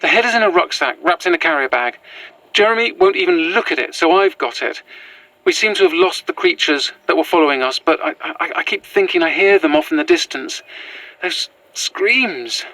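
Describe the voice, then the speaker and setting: A woman's voice: lowish voice, sing-song, moderate pace, a bit bright, no room sound, low-quality recording. A woman with a British accent playing an anxious, earnest former teacher on the run in a horror audio drama, hesitant and apologetic.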